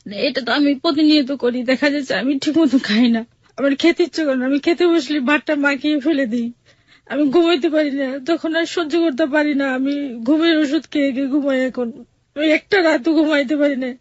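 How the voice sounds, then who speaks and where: A Bangladeshi woman tells her own story in a high voice. She sounds plaintive and halting, repeating words in everyday colloquial speech. The audio is dull and very dry. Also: a little mellow, no echo. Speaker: interview guest telling her life story. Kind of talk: personal account in colloquial speech.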